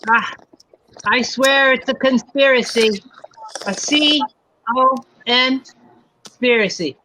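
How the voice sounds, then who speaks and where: An American man chatting casually on a livestream, making dry, low-key remarks. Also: takes it slowly, pushed and bright, slight background noise, rough recording. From United States.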